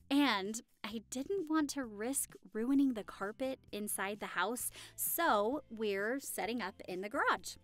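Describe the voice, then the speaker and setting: A woman's voice: on the high side, expressive, slow pace, fairly bright tone, soft background music. A woman playing a friendly, affectionate young hostess in an audio roleplay, chatting cheerfully and reassuringly to the listener. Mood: chatty, explaining. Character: friendly, affectionate young woman hosting a Halloween costume party.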